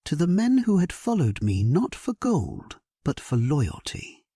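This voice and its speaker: A man playing a solemn, resolute English warrior king, narrating his battle in a measured, stately way for an audio drama. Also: high voice, warm tone, dry recording, studio quality, heavily acted. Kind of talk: dramatic scripted first-person narration, sleep story.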